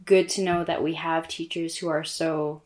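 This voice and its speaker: A woman's voice: low-pitched, flat delivery, normal speed, a little mellow. A woman hosting an interview, introducing her guest and asking questions in a friendly, encouraging way. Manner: friendly, encouraging, with many backchannel affirmations. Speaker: interview host.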